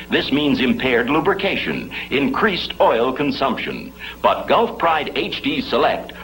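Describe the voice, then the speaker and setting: Man, 1950s ad announcer, emphatic sales pitch, lively pitch, mid voice. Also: poor audio. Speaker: commercial announcer.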